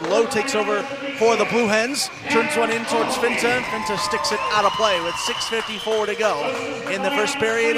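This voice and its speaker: A man's voice: sits high, very noisy. An American man doing live play-by-play radio commentary of a hockey game, describing the action in a descriptive announcer's style. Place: ice rink during a live game. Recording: music playing loudly behind live commentary.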